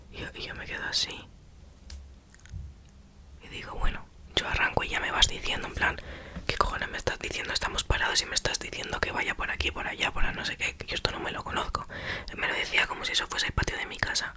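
Whispering young woman telling a story. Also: sharp tone. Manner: chatty, self-mocking, acts out quoted exchanges.

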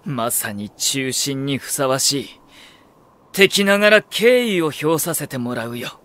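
A man's voice: mid-range voice, big pitch swings, unhurried. A man playing a cool, condescending military strategist with refined, superior diction in an audio drama. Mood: cool disdain. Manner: soft-spoken condescension, commanding shouts. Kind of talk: Japanese anime-style audio drama acting.

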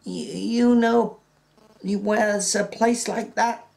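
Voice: medium pitch, steady tone, drawn-out words, vocal fry, a little mellow, voice pushed up, heavily acted. A reader doing a stammering old ranch hand. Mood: hesitant. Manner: stammering, hesitant.

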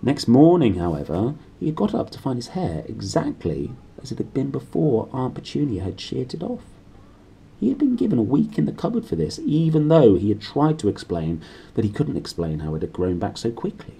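A man's voice: mid-range voice, very animated, a little fast, gravelly, mellow, breathy edge, poor audio. A man in his thirties with a British accent, reading a storybook aloud in a small room. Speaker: reader.

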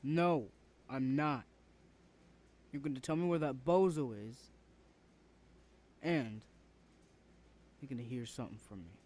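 Casting: male actor as young, confrontational big brother for audio roleplay; medium pitch, hostile, interrogating. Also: unhurried, pauses often, a little mellow, close to an everyday voice. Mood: suspicious, angry. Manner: confrontational, swearing, demanding. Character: rude, hostile, protective older brother confronting an intruder. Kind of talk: ASMR roleplay acting.

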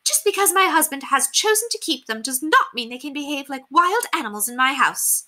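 A woman's voice: high voice, very animated, moderate pace, sharp tone, pitched above the performer's own voice, heavily acted. A woman voicing a haughty, spoiled young lady of the manor in a storybook, with pompous, affected, emphatic delivery. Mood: indignant.

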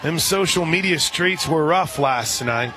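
A man calling softball play-by-play for radio in a conversational, folksy way, with asides between the calls. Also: on the high side, a little slow. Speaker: radio play-by-play sports announcer. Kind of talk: live softball commentary. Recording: crowd murmur behind broadcast voice. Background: outdoor stadium crowd.

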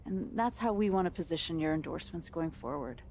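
A woman with a gravelly, slightly low voice as a corporate sports agent in a game cutscene. She is polished, assured and uncompromising. Faint background noise accompanies the voice. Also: lively intonation, quick pace, darkish tone. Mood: persuasive. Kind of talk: naturalistic game cutscene dialogue.

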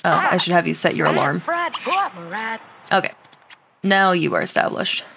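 A woman's voice: on the low side, moderate pace, vocal fry, lo-fi sound, noisy background. A woman giving casual let's play commentary, chatting to the game's characters and muttering to herself with casual swearing. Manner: talks to the game characters, muttering to self. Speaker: gaming video host.

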